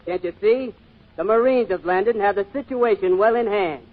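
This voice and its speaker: An actor playing a cocky, dismissive rival in an old-time radio drama, with a sneering, wisecracking manner. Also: male voice, sits high, normal speed. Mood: mocking. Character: cocky, mocking newlywed rival, curt and dismissive. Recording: tinny vintage radio recording.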